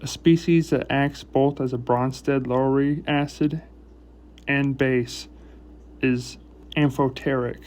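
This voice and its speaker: A man giving an informal explanatory lesson in a halting, deliberate way, with choppy phrasing. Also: medium pitch, steady tone, a little mellow. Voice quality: speech impediment, trips over long words. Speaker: educational video host reviewing math and chemistry. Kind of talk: informal explanatory lesson, part read from notes.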